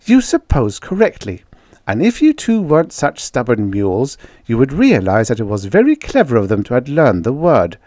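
A man voicing a brisk, bossy, stubbornly practical woman settler in a story, coaxing and defiant by turns. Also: medium pitch, wildly swooping pitch, a little mellow, no room sound, clean studio sound, voice pushed up.

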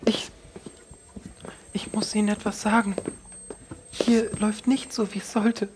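A man's voice: sits high, level tone, unhurried, background noise. A man voicing a timid, anxious young maid who is a worried mother. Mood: pleading, afraid. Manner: timid, hesitant.

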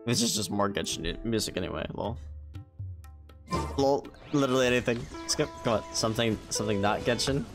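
A man's voice: medium pitch, animated, a little fast. A man chatting casually on a gaming livestream in a wry, sweary, muttering way. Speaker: live streamer. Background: game music.

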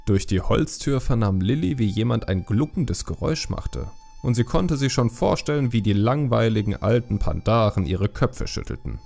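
A man's voice: mid-range voice, lively intonation, moderate pace, vocal fry, hardly any pauses, darkish tone. A man reading a fantasy audiobook as a dramatic storyteller. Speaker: audiobook narrator. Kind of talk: dramatic scripted fantasy narration.

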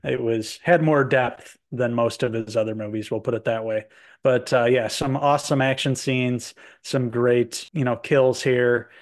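A man's voice: mid-range voice, steady tone, a little slow. Meandering man reviewing a film. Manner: meandering, many fillers and restarts. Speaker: movie review co-host. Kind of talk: casual film review chat. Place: home room with posters indoors. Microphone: video call webcam.

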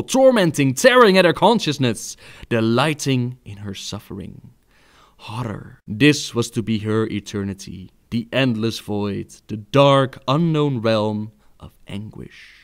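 A man telling fantasy game lore as dramatic narration, theatrical and emphatic, with pauses for effect. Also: medium pitch.

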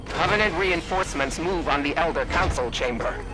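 An actor voicing a formal, commanding alien warrior pilot in a video game, urgent and measured. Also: male voice, on the high side, animated, natural voice, rough recording. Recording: loud game music behind.

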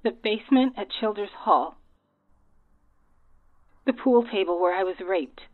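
A woman's voice: medium pitch, a little slow. A woman voicing a hurt, accusing woman calling on the phone, her voice filtered like a phone line in a story. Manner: strained, accusing. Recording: tinny phone-line sound.

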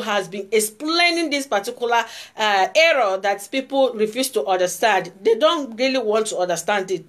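A woman's voice: on the high side, a bit bright. Emphatic Nigerian woman vlogging. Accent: Nigerian Igbo. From Nigeria, Igbo. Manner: emphatic, repeats phrases, gestures while talking. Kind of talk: casual political vlog monologue. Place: indoor room before hung flags. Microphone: phone camera close up.

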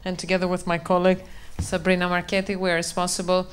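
A woman's voice: on the low side, completely flat delivery, fast talker, a little hiss or hum. A woman researcher introducing her work at a conference, speaking steadily and fluently into a handheld microphone in a large hall. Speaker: university researcher.

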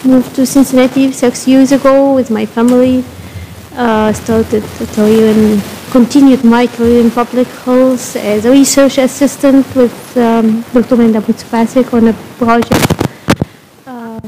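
Soft-spoken Egyptian woman at a podium. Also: on the high side, a little hiss or hum, poor audio. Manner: hesitant, many fillers. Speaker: new board member introducing herself. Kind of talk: public meeting remarks. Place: community meeting room. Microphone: podium microphone.

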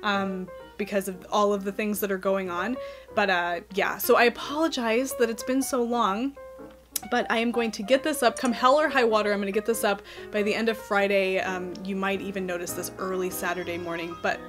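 A woman's voice: mid-range voice, steady tone, fairly quick, music in the background. A woman in her thirties hosting a knitting podcast, chatting casually to the camera at home, a little nasal from a cold, with lots of ums and light laughs. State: has a cold, slightly nasal. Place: cozy home office with string lights.

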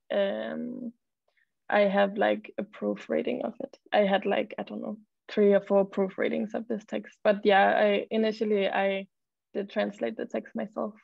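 Danish woman, flat gravelly voice, video call. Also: medium pitch, no room sound. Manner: hesitant, many fillers, reads poems slowly. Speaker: poet and model, panelist. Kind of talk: panel talk and poetry reading. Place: home room, video call. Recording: dull lo-fi video call audio.

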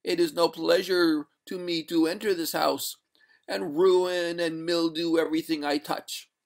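A haughty drowned maiden's ghost , acted out by a man reading a storybook, pitched well up and gurgling. The words come slowly and drawn out, with formal indignation and a doomed air. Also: fairly even intonation, vocal fry. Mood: haughty, indignant. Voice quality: gurgling, watery. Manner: slow, drawn-out, formal old-fashioned speech. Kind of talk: storybook character voice.

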